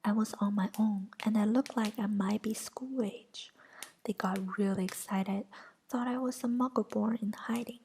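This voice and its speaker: A woman voicing a defensive young male wizard in a soft whisper. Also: mid-range voice, fairly even intonation, moderate pace, slight background noise, natural voice. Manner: whispered, pleading then shouting back.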